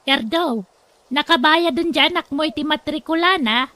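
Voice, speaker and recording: Woman playing a bright, high, shrewd older wife. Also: moderate pace, dry recording. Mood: inquiring. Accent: northern Philippine. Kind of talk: radio drama acting.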